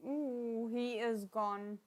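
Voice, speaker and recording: Higher-voiced woman reacting. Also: very slow. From South Africa. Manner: expressive, exclaims and giggles while watching. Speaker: livestream reaction host. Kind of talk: casual live reaction commentary.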